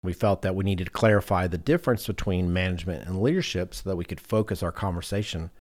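A man's voice: sits low, fast, darkish tone. A man hosting a podcast in casual conversation, telling anecdotes in a self-deprecating way. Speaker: podcast host.

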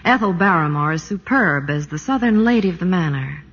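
A woman delivers scripted promotional chat as a 1940s radio reporter, gushing with enthusiasm. Her husky voice is very animated, on a muffled old broadcast. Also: lowish voice, fairly quick, long reverb tail. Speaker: Hollywood reporter.

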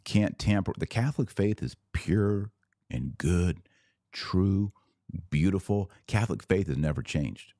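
A man's voice: deep voice, expressive, a little slow, mellow, no room sound, studio quality. A man hosting a live podcast, reading viewer comments and giving emphatic, opinionated commentary with rhetorical questions. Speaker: podcast host.

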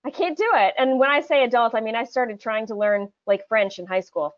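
A woman hosting a casual game segment in a chatty podcast style, playful and quick to laugh as she talks. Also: fairly high pitch, muffled recording. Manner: playful, laughs often while talking. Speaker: podcast host.

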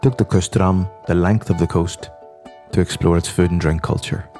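Low-voiced Irish man narrating over guitar. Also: fairly even intonation, a little mellow. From Ireland. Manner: measured, informative delivery. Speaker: podcast host. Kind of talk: scripted narration. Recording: music playing behind the voice. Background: guitar music.

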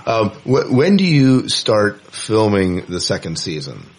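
A man's voice: fairly low pitch, big pitch swings, measured pace, background noise. A man co-hosting a casual interview, chatting informally and rambling a little into his questions. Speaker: podcast co-host, interviewer.

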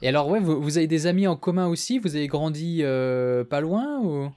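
A man interviewing someone in a casual, chatty way, putting his questions informally and haltingly. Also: fairly high pitch, animated, a little mellow.

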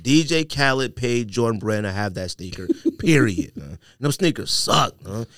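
An American man with a mid-pitched voice talks in lively, swooping tones on a podcast. He is emphatic and slangy, hammering his opinions by repeating them. The recording is close and dry. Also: normal speed, no echo. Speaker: podcast host. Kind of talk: casual sneaker podcast banter.

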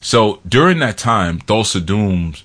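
A man's voice: fairly low pitch, lively intonation, slow pace, fairly bright tone. A man hosting casual film commentary, rambling and digressive with lots of fillers.

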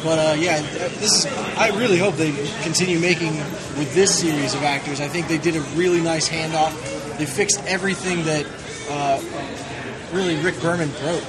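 A man's voice: fairly high pitch, fairly even intonation, normal speed. A man giving his casual opinion as an interviewed moviegoer. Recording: loud crowd and traffic, on location.

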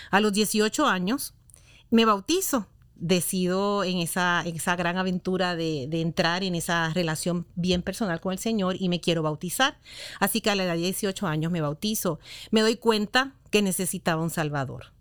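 A middle-aged woman gives her testimony in a steady, earnest way. Her voice sits a little low and sounds smooth and clear. The sound is close and dry, with no room around it. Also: no echo. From Puerto Rico. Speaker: interview guest. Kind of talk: personal testimony on a church radio show.

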